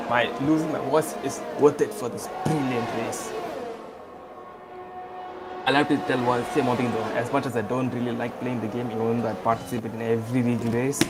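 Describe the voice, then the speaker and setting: A man commentating a live sim-racing stream, hoarse from losing his voice, excitable and blunt. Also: mid-range voice. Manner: excitable, blunt, talks over co-host. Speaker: esports race commentator. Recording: game sounds and music behind. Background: racing game engine noise and music.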